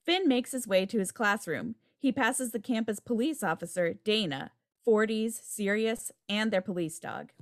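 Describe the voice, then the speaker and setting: A woman's voice: medium pitch, moderate pace. A woman reading scripted stage directions at a table read over a video call. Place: indoor room, video call. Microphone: video call microphone.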